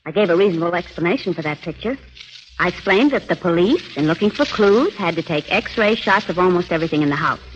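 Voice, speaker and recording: Actress as a spirited reporter, muffled radio. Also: female voice, fairly low pitch, lively intonation, fast talker, close to an everyday voice. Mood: conversational, self-assured. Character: spirited, quick-witted female newspaper reporter. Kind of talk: 1940s radio drama acting. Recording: muffled old radio recording, faint noise.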